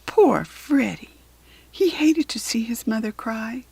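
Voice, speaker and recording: Female storybook reader for children: expressive, deliberate, lively intonation, breathy-husky tone. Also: fairly high pitch, no room sound. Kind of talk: expressive read-aloud narration for children.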